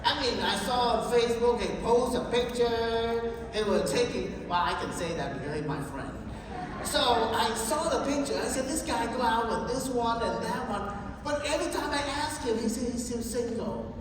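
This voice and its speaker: A man's voice: very high-pitched, animated, moderate pace. Man, sales trainer, joking storyteller, far from the mic, heavy hall echo, background noise. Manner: joking, storytelling, keeps checking in with the audience. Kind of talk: motivational sales training talk.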